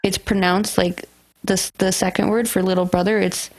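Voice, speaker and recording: Woman, podcast host, raised in thailand and canada, chatty, lots of fillers, mid pitch. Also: flat delivery, moderate pace, poor audio. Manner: chatty, many likes and fillers. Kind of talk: casual chat.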